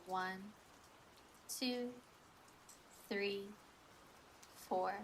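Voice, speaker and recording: A woman guides a breathing meditation at a slow, measured pace. Her voice sits at an average pitch for a woman, and she gives instructions in a soothing way. Faint ambient noise runs behind her. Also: a bit bright, rough recording.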